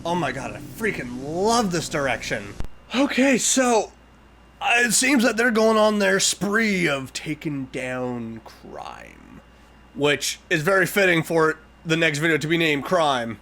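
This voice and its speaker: A man giving excited, exclamatory reaction commentary as a video host, talking back to what he watches in a geeky way. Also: a little high, unhurried, slight background noise.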